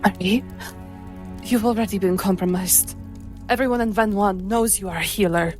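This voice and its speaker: A woman's voice: mid-range voice, normal speed, darkish tone, soft background music, close to an everyday voice. A woman voicing a wary, protective female adventurer in a tabletop game, urgent, terse and commanding. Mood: urgent, insistent.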